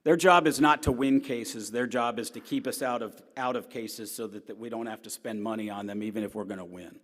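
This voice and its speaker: A middle-aged man with a loud, strong voice gives a talk as a newspaper columnist. He tells personal stories earnestly, rising to emphatic points and dropping to quiet asides. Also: lowish voice, a little fast. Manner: earnest storytelling, swinging between emphatic and quiet. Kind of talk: personal lecture to an audience. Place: large hall. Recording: long hall reverb.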